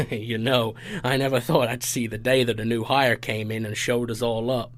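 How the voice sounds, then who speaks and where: A man playing an appreciative, measured boss in an audio drama, with a gravelly voice. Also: mid-range voice, little pitch movement, close to an everyday voice. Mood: impressed, pleased.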